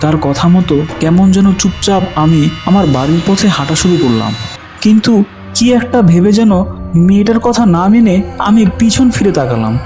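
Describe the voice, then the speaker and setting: A man's voice: high-pitched, animated, normal speed, mellow, soft background music. A man playing a young university student who tells his ghostly tale in dramatic, suspenseful first-person narration. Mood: uneasy, suspenseful. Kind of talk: scripted first-person horror narration with acted dialogue.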